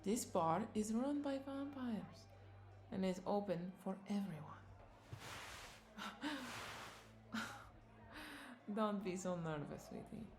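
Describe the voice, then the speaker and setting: A woman's voice: mid-range voice, unhurried, natural voice. A woman playing a flirty, doting vampire bartender in a roleplay audio drama, coaxing and affectionate as she speaks to the listener. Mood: amused, reassuring. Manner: coaxing, affectionate, lingering over words with soft chuckles.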